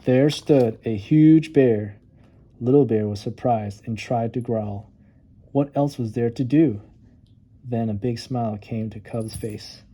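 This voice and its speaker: A man reads a storybook aloud for children. His voice is very dark and mellow, and he leans on key words for dramatic effect. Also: mid-range voice, slow pace, breathy edge. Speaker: storytime reader.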